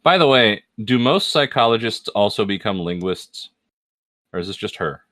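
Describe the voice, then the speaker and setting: A man's voice: lowish voice, expressive, normal speed, a bit bright, no echo. A man co-hosting a podcast, chatting casually and making dry jokes while watching an episode, often laughing. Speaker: podcast co-host. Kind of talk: casual commentary chat.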